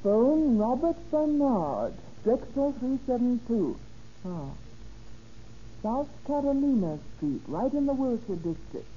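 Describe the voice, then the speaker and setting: An actress playing a coy, gushing, calculating gold-digger in a 1930s radio drama. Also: female voice, fairly high pitch, big pitch swings, slow, dark tone. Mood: gushing, interested. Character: scheming, sweet-talking gold-digging married woman. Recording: muffled, noisy old radio recording.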